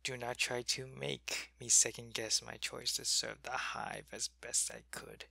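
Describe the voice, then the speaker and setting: A man playing a sick, gravelly alien soldier. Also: medium pitch, level tone, unhurried, dry recording, full character voice. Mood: weary, ill. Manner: soft, weary, often whispering. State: sick and weak, in pain. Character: sick male alien changeling soldier, loyal to his queen. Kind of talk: ASMR roleplay.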